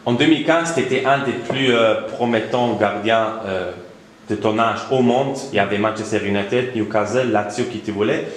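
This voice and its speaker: Male sports interviewer, fairly low register, informal questioning, heavy room echo. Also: normal speed. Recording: echoey room, faint background noise.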